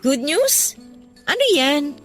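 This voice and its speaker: A woman's voice: high voice, big pitch swings, slow, fairly bright tone, soft background music, exaggerated voice. A Filipino woman playing a busy young office worker in a Tagalog radio romance drama, casual, apologetic and guarded. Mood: curious, surprised.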